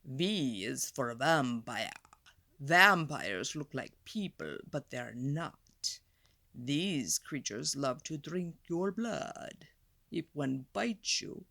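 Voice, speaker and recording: Storybook vampire, acted by a woman: spooky, playful menace, theatrical, drawn-out delivery. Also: low-pitched, gravelly, sharp tone, no room sound, voice pushed down, heavily acted.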